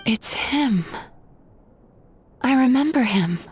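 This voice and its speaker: An actress playing a composed, earnest female psychologist in a video game, speaking in a measured, pensive way. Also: a little high, lively intonation. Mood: concerned. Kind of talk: video game character acting.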